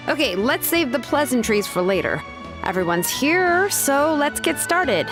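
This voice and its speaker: A woman's voice: high voice, very animated, measured pace, low-quality recording. An actress playing a laid-back young female artisan in a video game, speaking in a casual, matter-of-fact and slightly hesitant way. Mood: businesslike. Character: laid-back, businesslike young woman, master name forger. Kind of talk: video game character acting. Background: music.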